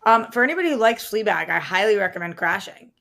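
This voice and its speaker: A woman's voice: mid-range voice, lively intonation, quick pace, creaky, fairly bright tone. A woman co-hosting a movie show, chatting in an informal, slangy way about films. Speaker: co-host of a movie news show. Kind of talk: casual movie discussion.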